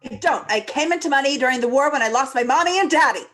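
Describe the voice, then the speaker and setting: A woman's voice: high voice, fast talker. A woman playing a blustering, indignant, dim army colonel in a script table read. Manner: blustery, excitable.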